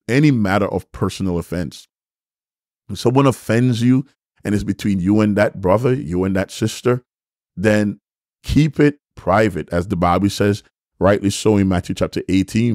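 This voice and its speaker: A middle-aged man giving sermon-like Bible teaching, speaking deliberately and repeating his points for emphasis, close to a microphone. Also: on the low side, darkish tone, no echo. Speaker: bishop and host of a church teaching show. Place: small furnished room, indoors. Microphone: microphone on a stand, close in front.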